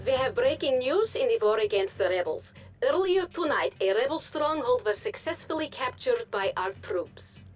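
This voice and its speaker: Animated high-pitched propaganda news anchorwoman. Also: moderate pace, hardly any pauses, soft background music. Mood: formal, grave. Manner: formal, dramatic newsreader delivery. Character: polished propaganda TV news anchorwoman loyal to the Soviet occupiers. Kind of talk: scripted TV news broadcast.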